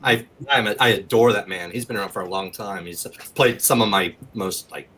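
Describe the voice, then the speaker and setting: A man's voice: lowish voice, brisk, a bit bright, slight background noise. A man speaking emphatically and with passion as a guest in a casual interview, swearing freely. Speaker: actor and acting coach, guest.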